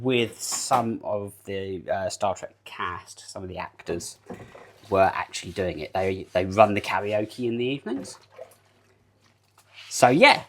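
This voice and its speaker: British male streamer, cozy rambling chat with chat, bouncy up-and-down delivery, frequent laughs, home kitchen. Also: mid-range voice. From United Kingdom. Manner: rambling, chatty, laughs often, answers chat. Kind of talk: casual live-stream chat. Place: home kitchen while baking.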